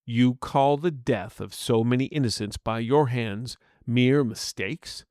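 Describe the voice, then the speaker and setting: A man's voice: mid-range voice, a little slow, darkish tone, dry recording, clean studio sound, close to an everyday voice. A man voicing an aging heroic swordsman in a fantasy story, earnest, righteous and wary. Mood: indignant. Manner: earnest, emphatic, moralizing.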